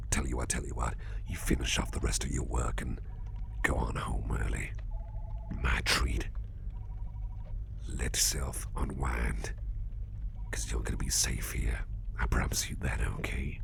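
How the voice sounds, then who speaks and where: A protective male boss played by a man murmurs in an extremely low, crackling half-whisper. He takes his time, rambling gently and repeating himself as he reassures a nervous employee. The delivery is intimate, soothing ASMR roleplay. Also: heavily acted. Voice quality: breathy whisper, very deep and gravelly.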